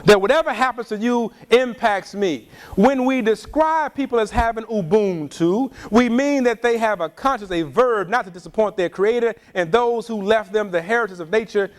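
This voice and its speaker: High-voiced man lecturing emphatically. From Louisiana, USA. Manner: slow, emphatic, preacher-like delivery. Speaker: speaker at a community event. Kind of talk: lecture with call and response.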